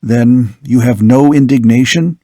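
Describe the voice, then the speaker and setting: A tired, brooding older father who speaks in a soft, grave tone, as a male narrator puts him on. His voice is of middling pitch for a man. The storybook reading is recorded close and dry. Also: unhurried, no room sound, natural voice. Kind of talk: audiobook character reading.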